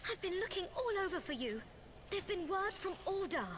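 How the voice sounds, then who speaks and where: A woman's voice: very high voice, sing-song, normal speed, noisy background. A woman playing an urgent little female messenger in a game cutscene. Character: urgent, very high-voiced small female messenger. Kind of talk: scripted game cutscene acting.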